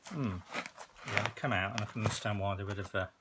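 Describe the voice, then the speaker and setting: A man's voice: lowish voice, quick pace. A man chatting casually while reviewing and unboxing a product, with informal, chatty asides. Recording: very noisy recording, rustling and clicks.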